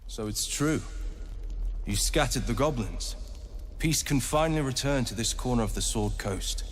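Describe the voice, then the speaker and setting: Guy as calm formal grove dweller in a game, mid voice, some reverb n faint ambience. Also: medium pitch, moderate pace, slight background noise, close to an everyday voice. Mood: composed, grateful. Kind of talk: video game voice acting.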